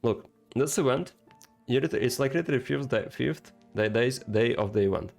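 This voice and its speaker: A man giving casual, rambling game commentary, halting and repeating himself, with emphatic rhetorical questions. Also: medium pitch, creaky, darkish tone, faint music behind. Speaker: gaming content creator reacting to game cutscenes.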